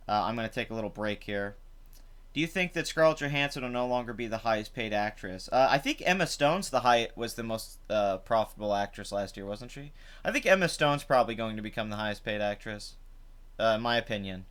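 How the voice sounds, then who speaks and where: Host type: young guy, chatty and rambling, voice rough from the night before, resonant tone. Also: mid-range voice, fairly bright tone. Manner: rambling, chatty, many fillers. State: rough voice after drinking. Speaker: live stream host. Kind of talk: casual live stream commentary. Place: home.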